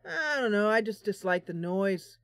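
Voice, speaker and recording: A woman plays a plain-spoken village shopkeeper from a fantasy role-playing game. He talks reluctantly and haltingly, musing as he goes, in a dry, close sound. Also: mid-range voice, lively intonation, no room sound, natural voice. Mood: grudging, reflective. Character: gruff, grudging male trading post keeper, plain-spoken villager.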